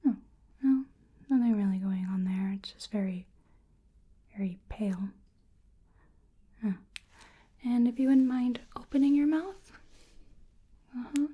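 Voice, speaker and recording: A woman whispering softly and breathily in an ASMR roleplay as a polite, curious researcher doing a gentle exam. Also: a little high. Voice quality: breathy whisper and soft speech. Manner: gentle, hesitant, polite, unhurried.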